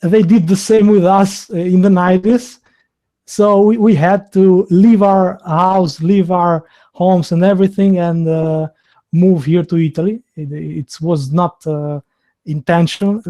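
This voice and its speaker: Halting Albanian-accented man, slow. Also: high voice, steady tone, dark tone. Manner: halting and hesitant, many fillers and restarts. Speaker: host. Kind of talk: live online video interview.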